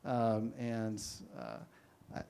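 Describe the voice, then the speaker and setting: A man's voice: lowish voice, big pitch swings, very slow, vocal fry, warm tone. A man moderating a panel, introducing the speakers in a rambling, self-deprecating way. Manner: rambling, self-deprecating, many fillers and restarts.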